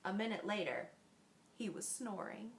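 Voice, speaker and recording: A woman's voice: mid-range voice, normal speed, background noise. A woman reading a children's story aloud expressively, as a school librarian does. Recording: echoey room.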